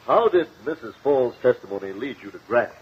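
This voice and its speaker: An actor playing an inquiring judge in a 1950s radio courtroom drama, formal and measured. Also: male voice, medium pitch, expressive, slightly rough. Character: puzzled, inquiring male judge presiding in court. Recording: muffled, noisy old radio recording.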